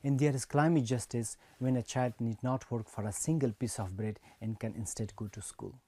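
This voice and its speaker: Young man from Afghanistan, measured prepared speech, mellow tone, dry close sound. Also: medium pitch, steady tone, dry recording. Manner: earnest, measured delivery. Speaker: speech reader.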